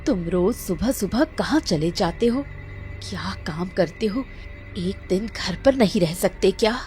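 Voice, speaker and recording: A woman's voice: medium pitch, expressive, measured pace, a little mellow, faint music behind, natural voice. A woman acting a worried, nagging, protective mother in a Hindi cartoon moral story.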